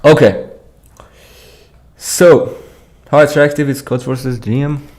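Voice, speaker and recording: A man's voice: medium pitch, takes it slowly, darkish tone. A young man from Iran, live streaming at a computer, casually explaining contest solutions and reading chat with frequent restarts. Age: young adult. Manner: casual, hesitant, restarts sentences, laughs while reading chat.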